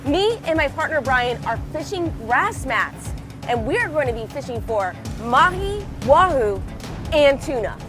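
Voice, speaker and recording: Young female boat vlogger, cheerful, a touch nasal, higher voice, music bed behind. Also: unhurried. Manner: upbeat, excited when a fish hits. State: slightly nasal from a head cold. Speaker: fishing channel host. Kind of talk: casual vlog narration on a boat. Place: outdoors on a fishing boat offshore.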